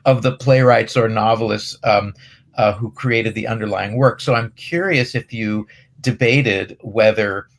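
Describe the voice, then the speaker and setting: Halting man with a lowish voice, moderating. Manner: halting, hesitant, searching for words. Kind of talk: conversational interview questions.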